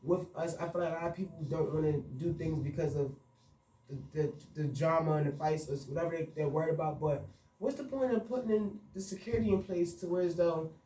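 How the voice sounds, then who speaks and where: A man's voice: on the high side, fairly even intonation, a little fast, echoey room, distant mic, low-quality recording. A young man, a party promoter speaking earnestly in a radio interview. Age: young adult. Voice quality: soft voice. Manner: earnest, frustrated storytelling with frequent fillers.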